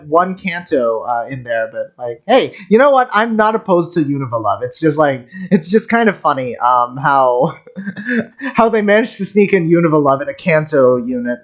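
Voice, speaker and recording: A streamer of middling pitch talks through game content off the cuff. The delivery is wry and geeky, wandering as thoughts arrive. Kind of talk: casual stream commentary on game voice lines.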